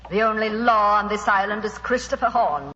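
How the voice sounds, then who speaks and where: Radio villainess, played by an actress: cold, bright and taunting, formal diction, dull reverberant 1940s recording. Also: female voice, mid-range voice, moderate pace, a little hiss or hum, close to an everyday voice. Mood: cold, triumphant. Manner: formal, mocking, coolly taunting. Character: cold, scheming female villain, a spy and murderess. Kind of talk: 1940s radio drama acting.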